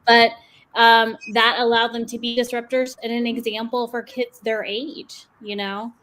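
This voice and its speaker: A woman's voice: a little high, flat delivery, a little slow, a bit bright, a little hiss or hum. A woman in her forties chatting on a panel over a video call, upbeat and laughing between thoughts. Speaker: panelist, podcast host. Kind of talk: casual panel chat.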